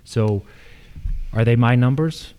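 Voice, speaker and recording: A man's voice: medium pitch, takes it slowly, warm tone, clean tone. A man speaking as an incumbent official at a candidate forum, explaining in a plain-spoken way. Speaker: incumbent county assessor and candidate. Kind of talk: forum answers. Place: live public forum on a college campus.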